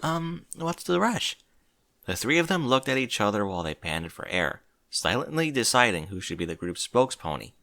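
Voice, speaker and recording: A man's voice: lowish voice, big pitch swings, brisk, gravelly, few pauses, bright, forceful tone. A man narrating a scripted story expressively, as a reader of fan fiction.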